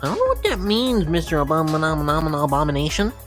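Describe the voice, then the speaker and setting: A woman's voice: low-pitched, big pitch swings, fast talker. A woman voicing an eager, innocent little filly, a younger sister pony from a cartoon, in a childlike, chirpy manner for a fan reading. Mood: curious, puzzled. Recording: music behind the voice.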